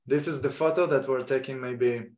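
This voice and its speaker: Israeli-accented male host, halting delivery full of fillers, roomy echo. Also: medium pitch, steady tone, a little mellow. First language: Hebrew. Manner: halting, many fillers and restarts. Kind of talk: online lecture introduction. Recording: echoey room.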